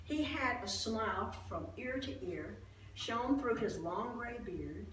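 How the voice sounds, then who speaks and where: In a very echoey space, some way from the mic, a woman acts out an older priest's wife from a Bible story. She is devout, excitable and full of awe. She tells her tale in a chatty, halting, expressive way, breaking into exclamations. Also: a little high. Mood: fond, reminiscing. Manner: conversational, expressive, halting with restarts and acted-out exclamations. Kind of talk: first-person dramatized Bible monologue, storytelling. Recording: roomy echo, distant mic, faint noise.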